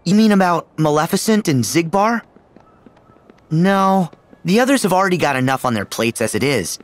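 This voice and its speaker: A man's voice: on the high side, animated, normal speed, lightly acted. A man playing an upbeat, sincere teenage boy hero in a video game, with a youthful voice.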